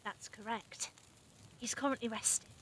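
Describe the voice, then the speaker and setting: A woman's voice: on the high side, fairly even intonation, moderate pace, a bit bright, slight background noise, natural voice. A woman playing an anxious, firmly protective carer in an audio drama. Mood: guarded. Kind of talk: fan audio drama acting.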